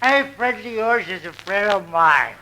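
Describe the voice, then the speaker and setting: An actor playing a rambling, overfamiliar oddball fellow in a 1940s radio comedy. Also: male voice, sits high, animated, normal speed. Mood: drunkenly chummy. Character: slow, chummy, oblivious oddball hot-dog vendor and drunk. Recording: noisy 1940s live radio broadcast.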